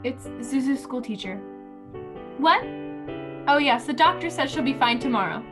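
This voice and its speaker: A woman playing a gentle, worried wife and mother in a radio play, soothing and concerned. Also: high voice, expressive, normal speed, over music. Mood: anxious. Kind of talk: radio drama acting.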